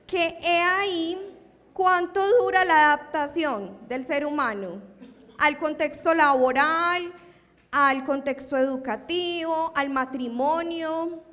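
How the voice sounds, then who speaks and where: A woman giving a talk at an academic conference, lively and full of rhetorical questions to her audience. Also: high voice, slow pace. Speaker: lecturer. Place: lecture hall. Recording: long hall reverb.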